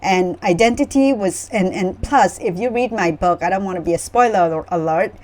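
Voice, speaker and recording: A woman's voice: mid-range voice, normal speed, a little mellow. A middle-aged woman from Vietnam, telling her personal story in an earnest, reflective way as a guest author. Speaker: memoir author, panel guest.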